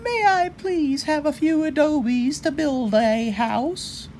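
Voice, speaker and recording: Woman doing a high-pitched, plucky little girl javelina. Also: slow pace, a little mellow, slight background noise. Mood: polite. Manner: high, exclamatory storybook delivery. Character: plucky young female javelina, polite but defiant. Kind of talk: storybook read-aloud character voice.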